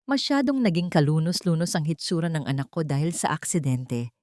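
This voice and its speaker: A woman's voice: sits low, very animated, a little fast, a little mellow, no echo, studio quality. A woman acts out a menacing older matriarch of a wealthy house. She is cold, stern and domineering, in a dryly recorded audiobook drama. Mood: threatening. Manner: stern, threatening.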